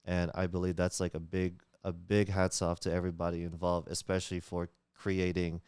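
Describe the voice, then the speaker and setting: A man with a very low, smooth and resonant voice, speaking English as a Tagalog speaker. He talks earnestly in a casual interview, restarting his phrases and filling pauses. The sound is close and dry. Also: completely flat delivery, a little mellow, no echo. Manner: earnest, many fillers and restarts, laughs while talking. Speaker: community leader, guest.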